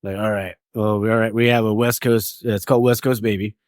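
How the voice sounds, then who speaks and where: A man's voice: lowish voice, flat delivery, quick pace, no echo, studio quality. A man hosting a podcast, chatting casually and joking through a beer tasting, swearing now and then. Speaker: podcast host.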